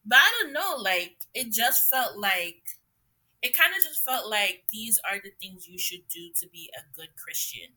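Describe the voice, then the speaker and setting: A young adult woman from Trinidad and Jamaica, a podcast guest who tells her story in a relaxed, reflective way with frequent fillers and laughs. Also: mid-range voice, moderate pace, rough recording. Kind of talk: conversational interview storytelling.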